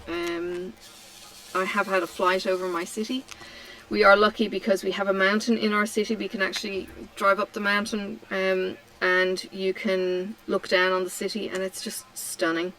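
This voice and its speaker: A middle-aged woman from Tasmania chatting casually while she crafts, answering questions slowly with many ums and pauses. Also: mid-range voice, little pitch movement, fairly bright tone, low-quality recording. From Australia (Tasmania). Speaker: craft vlogger. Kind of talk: relaxed chat while crafting.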